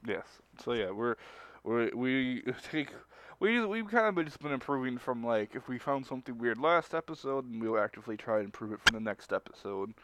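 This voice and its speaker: A man co-hosting a casual group stream chat, speaking haltingly and often rephrasing as he goes. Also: medium pitch, lively intonation, a bit bright, slight background noise, rough recording. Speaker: stream co-host.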